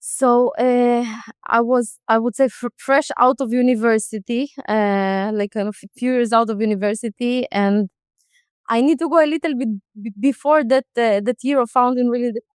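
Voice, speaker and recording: Woman, 30s, higher register, clear dry tone; loose, rambling podcast guest. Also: steady tone, normal speed, dry recording. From Israel. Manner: chatty, many fillers and restarts. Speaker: guest, company founder. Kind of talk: casual interview answers.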